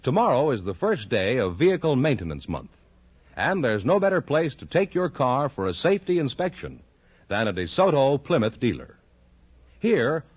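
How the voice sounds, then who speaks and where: A man's voice: mid-range voice, breathy edge. A man with an American accent reading scripted sponsor announcements on 1950s radio, in a polished salesman's delivery. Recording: dull vintage radio broadcast, some music.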